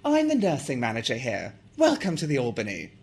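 A man voicing a brisk, professional woman nursing manager in a novel reading, enunciating each word. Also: mid-range voice, huge pitch swings, a little mellow, slightly rough, heavily acted. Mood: sprightly.

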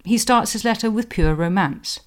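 A woman with a medium-pitched voice presents a history podcast. She delivers scripted explanation like a scholar, articulate and precise, leaning deliberately on key words.

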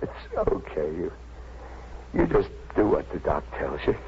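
An actor playing a kindly, plainspoken New York policeman and family man in a 1950s radio drama, talking in a folksy, halting way. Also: deep voice, lively intonation, vocal fry, heavily acted. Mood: gentle, tired. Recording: muffled, noisy 1950s radio recording.

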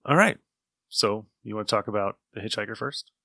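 A man's voice: on the low side, brisk, vocal fry, pushed and bright, no echo, clean studio sound. A man hosting a podcast in a casual, informal and emphatic chatting style. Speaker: podcast host. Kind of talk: casual chat about old radio shows.

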